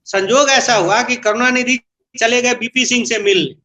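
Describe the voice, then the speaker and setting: A man gives his views in a political interview. His voice is notably high-pitched and bright, with a forceful edge. He explains and argues his case, switching now and then into English phrases. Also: fairly even intonation, moderate pace. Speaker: political interviewee, party spokesman. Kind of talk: political interview, opinion and anecdote.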